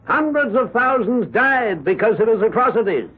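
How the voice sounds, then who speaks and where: A high, husky, oratorical courtroom lawyer. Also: male voice, big pitch swings, phone-quality sound, heavily acted. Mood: probing, formal. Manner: oratorical, deliberate courtroom pleading. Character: earnest, persuasive male defense lawyer in court. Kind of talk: 1970s radio drama acting. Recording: thin band-limited vintage radio recording.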